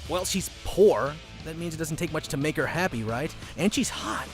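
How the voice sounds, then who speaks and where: Man doing a high school bully, sneering, aggressive, animated swooping pitch, manga dub, music underneath. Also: normal speed, natural voice. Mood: mocking. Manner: mocking, aggressive. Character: sneering teenage male delinquent bully.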